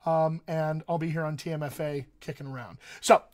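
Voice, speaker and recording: A man's voice: fairly high pitch, steady tone, normal speed. A man talking as a dialect coach to actors on a casual live stream, chatty and encouraging, with lots of ums and uhs. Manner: chatty, encouraging, with many fillers. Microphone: webcam or computer mic on a live stream.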